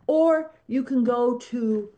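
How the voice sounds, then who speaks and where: A woman's voice: fairly high pitch, slow, warm tone, lo-fi sound. A woman guest on a religious talk show, speaking informally and emphatically about faith. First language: not Latin or Spanish; English.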